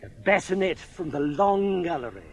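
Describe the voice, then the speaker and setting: An actor playing a dissolute, drunken village squire in a British radio drama, with an upper-class English accent and a mocking, pompous manner. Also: male voice, high voice, animated, normal speed, vocal fry, a crowd in the background. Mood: scornful, amused.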